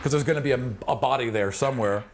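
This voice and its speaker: A man narrating a tabletop fantasy game as game master, improvising in an animated way with spoken sound effects. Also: mid-range voice, quick pace, creaky, noisy background.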